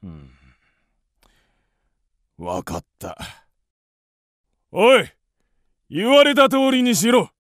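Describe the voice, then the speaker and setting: Casting: haughty old tyrant king for radio drama, very slow and swooping, creaky grain. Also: extremely high pitch, wildly swooping pitch, dry recording, studio quality, exaggerated voice. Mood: haughty, demanding. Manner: haughty, archaic lordly speech. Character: imperious, greedy, suspicious old king of ancient China. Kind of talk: Japanese radio drama acting.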